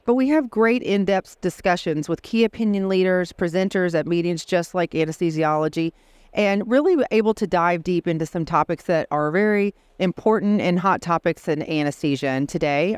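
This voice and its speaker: A woman hosting an interview podcast, speaking in a clear, smooth voice. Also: on the low side, normal speed, a little mellow, no echo. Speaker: podcast host.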